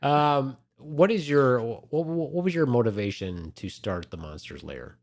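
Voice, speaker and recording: A man's voice: medium pitch, expressive, a little slow, a little mellow. A man co-hosting a podcast, joking and teasing his co-host, laughing often. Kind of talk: casual podcast chat.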